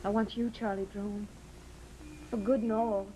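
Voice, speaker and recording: Lady playing a loving wife in an old radio drama, medium pitch, coaxing and intimate, faint hiss behind her. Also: little pitch movement, a little slow, warm tone, close to an everyday voice. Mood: tender, earnest. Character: devoted, romantic wife of a gambler. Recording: slightly noisy old radio recording.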